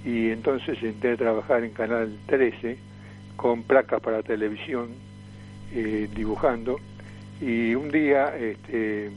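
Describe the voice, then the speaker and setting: A man's voice: mid-range voice, fairly even intonation, takes it slowly, muffled recording, faint music behind. A man in his seventies from Tucumán with an Argentine accent, recalling his career as an interview guest in a hesitant, repetitive way. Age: 70s. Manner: hesitant, repeats words and phrases. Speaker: radio guest, veteran comic performer and voice impressionist. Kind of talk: casual reminiscing interview.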